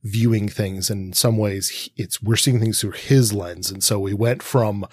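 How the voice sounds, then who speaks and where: A man with a lowish voice talks as a podcast co-host in a conversational literary discussion. He is analytical and builds questions at length, circling through options. The sound is very dry, with hardly any room on it. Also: fairly even intonation, normal speed, a little mellow, breathy edge, no echo. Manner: long-winded analytical questioning.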